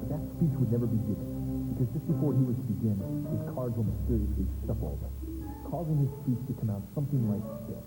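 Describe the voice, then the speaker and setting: A man's voice: medium pitch, quick pace, lightly acted. A man playing a brash, teasing stand-up comedian who gives a scripted lesson to an audience in a punchy, bossy showman's style. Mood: wry. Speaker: comedian presenting a training film. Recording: echoey hall, music behind.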